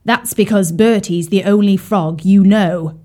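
A woman's voice: medium pitch, a little slow, mellow, close to an everyday voice. A woman voicing a grumpy, rude male carp in a children's story, with a snappy, scornful, complaining manner. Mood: snapping.

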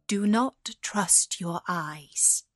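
A woman voicing a tender young fairy-tale prince, earnest and sorrowful, with slow, formal speech. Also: mid-range voice, dry recording, soft background music. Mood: pleading.

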